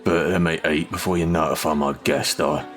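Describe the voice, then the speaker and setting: A menacing English criminal with a working-class accent and an extremely low voice. He is gruff and curt, bullying whoever he talks to. It is audio drama acting with a surly edge. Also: fairly even intonation, fairly quick, fairly bright tone, soft background music. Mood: gruff, irritable.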